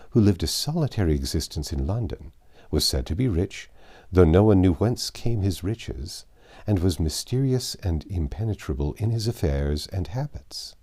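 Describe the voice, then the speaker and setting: A man reading a classic novel aloud as an expressive audiobook narrator. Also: sits low.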